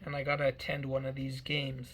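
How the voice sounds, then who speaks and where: A man's voice: mid-range voice, monotone, fairly quick, a bit bright, slight background noise, rough recording. A man in his early thirties giving a meandering personal life update, often repeating himself and restarting phrases. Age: 30s. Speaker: vlogger.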